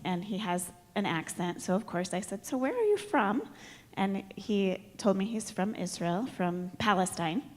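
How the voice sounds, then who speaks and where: Chatty woman introducing a speaker in church. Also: medium pitch. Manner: informal, chatty, self-deprecating. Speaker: worship leader introducing the speaker. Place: church sanctuary. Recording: long church reverb.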